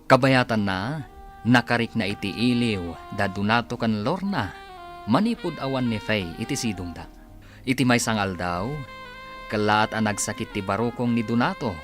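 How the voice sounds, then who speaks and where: Animated male radio drama announcer over music. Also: fairly low pitch, moderate pace. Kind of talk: scripted dramatic narration.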